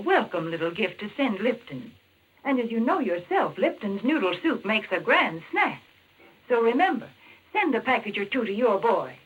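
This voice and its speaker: Female radio announcer, fairly high and lively, scripted 1940s commercial style, muffled vintage recording. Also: breathy edge.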